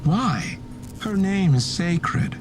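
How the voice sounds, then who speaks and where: A man's voice: high-pitched, expressive, full character voice. A man playing a serene, fanatical cultist messenger in a video game, preaching reverently, with music behind him. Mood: cryptic zeal. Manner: slow, reverent, preaching delivery. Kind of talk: cinematic video game acting.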